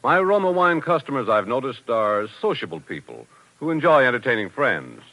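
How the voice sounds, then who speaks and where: A man's voice: medium pitch, very animated, normal speed, pushed and bright, heavily acted, poor audio. A man playing a contented, folksy wine dealer in a 1940s radio testimonial ad. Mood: pleased, confiding. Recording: vintage 1940s radio broadcast.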